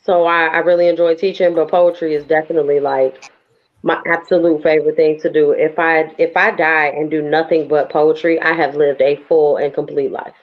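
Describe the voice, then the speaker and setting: A woman poet from New Orleans with a local accent, talking in a lively, emphatic, conversational way as an interview guest. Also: deep voice, moderate pace. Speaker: guest, spoken word poet. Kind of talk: casual interview talk. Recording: dull lo-fi audio.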